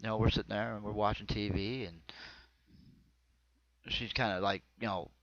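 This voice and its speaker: A man's voice: lowish voice, steady tone, normal speed, fairly bright tone, dry recording. An American man performs an autobiographical comedy monologue in a rambling, conversational storytelling manner. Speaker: amateur comedian. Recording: dull, lo-fi close dry recording.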